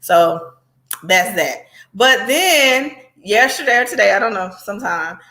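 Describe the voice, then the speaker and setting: A woman's voice: mid-range voice, expressive, unhurried, sharp tone. A woman host gives casual commentary in a mid-range voice. She speaks directly to her audience, conversational and sardonic, as she reacts to what she shows them.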